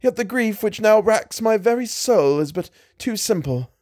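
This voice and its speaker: A man voicing a distressed young Victorian woman who pleads in a low, murmuring voice with formal, ornate speech. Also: expressive, moderate pace, a little mellow, dry recording, studio quality. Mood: pleading.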